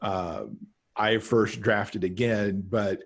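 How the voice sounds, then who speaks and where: Low husky middle-aged man. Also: fairly even intonation, slow. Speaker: podcast guest, author and podcaster. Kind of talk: casual conversational interview. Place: home room, on a video call. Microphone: video call.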